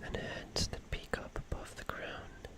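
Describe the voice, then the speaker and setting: An ASMR streamer telling eerie horror musings in a breathy, unvoiced whisper, drawing out and repeating words. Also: unhurried.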